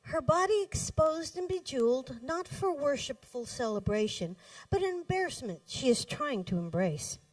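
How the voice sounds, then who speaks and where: A woman reading her own poems live in a cafe, with deliberate, dramatic phrasing, pauses and emphatic stresses. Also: sits high, lively intonation. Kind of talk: live poetry reading to an audience. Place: indoors in a cafe. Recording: roomy echo, distant microphone.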